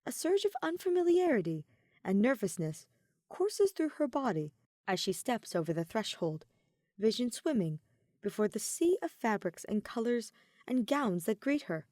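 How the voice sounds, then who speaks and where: A woman reading scripted fiction as a narrator, with expressive, measured storytelling. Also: fairly high pitch, dry recording, clean studio sound. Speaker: podfic narrator.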